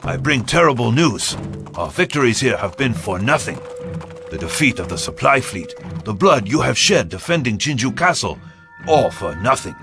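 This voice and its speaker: Grave Korean admiral, video game drama. Oratorical, commanding, big swings in pitch, music behind. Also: male voice. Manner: solemn, oratorical delivery.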